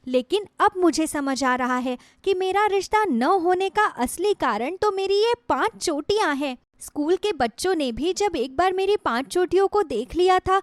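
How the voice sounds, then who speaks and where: A high, plaintive young woman from a cartoon moral tale, acted by a woman. She speaks earnestly and anxiously, as someone troubled. The recording is close and dry. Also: no room sound. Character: sad, anxious young woman with five braids. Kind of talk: Hindi moral story cartoon acting.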